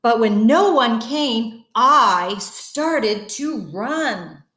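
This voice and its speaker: A woman's voice: on the high side, drawn-out words, reverberant. A woman reading a bedtime story aloud to children, expressively, with wondering asides to her young listeners. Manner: addresses children, expressive, asks wondering questions. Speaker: guest bedtime story reader.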